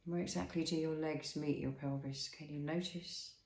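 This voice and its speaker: Creaky-voiced man guiding relaxation, roomy sound. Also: a little high, fairly even intonation. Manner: soothing, unhurried, with pauses and gentle questions. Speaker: Pilates instructor leading a guided body scan. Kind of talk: guided relaxation instruction.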